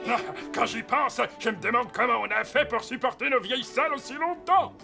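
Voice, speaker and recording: A performer voicing an impatient, hurried cartoon character, urgent and exclamatory. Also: mid-range voice, creaky, close to an everyday voice. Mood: impatient, excited. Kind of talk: animated cartoon dialogue. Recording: loud music behind the voice.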